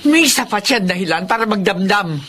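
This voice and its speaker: A woman's voice: medium pitch, animated, fairly quick, fairly bright tone, breathy edge. A woman playing an older grandmother in a radio drama, concerned, indignant and reproachful as she stands up for her grandson. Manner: scolding, indignant.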